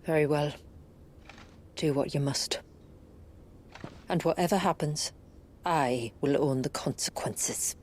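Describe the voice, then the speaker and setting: A woman playing a resolute, solemn village leader in a video game, speaking in a measured, formal way. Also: low voice, a little hiss or hum. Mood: resolute, wary. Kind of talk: video game cutscene acting. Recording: music behind the voice.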